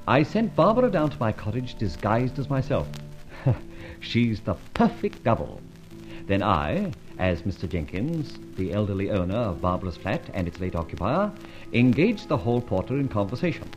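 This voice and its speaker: An actor playing a debonair, breezy gentleman adventurer in an old radio serial, with brisk, clipped, theatrical speech. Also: on the low side, very animated. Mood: wry, self-satisfied. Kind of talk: old radio serial acting and first-person narration. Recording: dull vintage radio recording, music behind.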